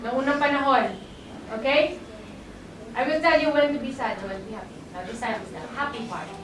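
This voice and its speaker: High-voiced woman coaching a group. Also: brisk, noisy background. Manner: encouraging, directive. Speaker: performance coach. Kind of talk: rehearsal instruction. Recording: echoey room audio from far off, crowd behind.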